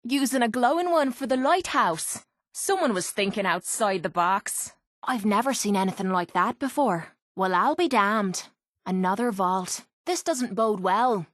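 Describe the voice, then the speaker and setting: Blunt, sardonic Irish fighter, dry sound. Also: female voice, mid-range voice, animated, unhurried, fairly bright tone, dry recording. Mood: wry, grumbling. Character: tough, cynical, foul-mouthed young Irish woman fighter. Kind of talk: video game companion voice acting. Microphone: close, dry studio microphone.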